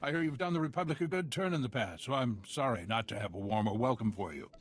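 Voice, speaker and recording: An actor playing a weary, formal base commander in a video game, husky and matter-of-fact. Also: male voice, medium pitch, fast, natural voice. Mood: weary, grave.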